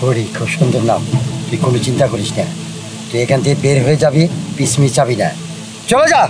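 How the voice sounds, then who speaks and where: A man's voice: mid-range voice, a little fast, heavy background noise, close to an everyday voice. A man playing a commanding, scheming occult holy man. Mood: curt. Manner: commanding, theatrical. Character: scheming, lecherous village occultist holy man, curt and bossy. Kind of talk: melodramatic fairy-tale villain acting.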